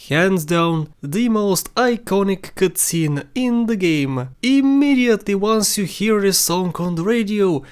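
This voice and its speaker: Someone narrating a top ten game list, scripted, mid pitch voice. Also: darkish tone.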